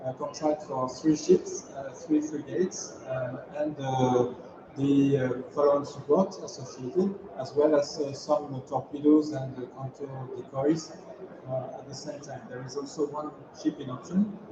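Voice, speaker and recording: Man presenting slowly with many pauses, far-off hall. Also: mid-range voice, steady tone. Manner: measured, many filler pauses. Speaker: company executive presenter. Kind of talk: conference presentation. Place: large conference hall. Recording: distant echoey hall, dull and noisy.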